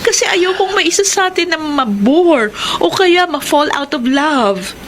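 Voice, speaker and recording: A high-voiced, plaintive girlfriend. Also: expressive, a little slow, a little hiss or hum. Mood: hurt, frustrated. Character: Marjorie, frustrated devoted long-time girlfriend. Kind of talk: radio drama acting and first-person narration.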